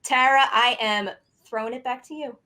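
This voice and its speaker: A woman with a slightly high voice. She talks conversationally as an interviewer, with an effusive, appreciative manner. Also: normal speed, bright, forceful tone. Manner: effusive, thankful.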